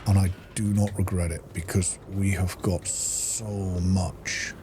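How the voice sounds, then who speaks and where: Male gaming host, lowish voice, casual self-directed muttering while playing, clearly noisy recording with ambience behind. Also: flat delivery, slow. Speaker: gaming let's-play host. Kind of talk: casual gameplay commentary.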